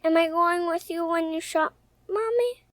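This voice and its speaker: Shy little boy, very high voice, polite and hesitant, for an old radio drama, dry sound. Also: unhurried, no room sound. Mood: polite, timid. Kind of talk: mid-century radio soap opera acting.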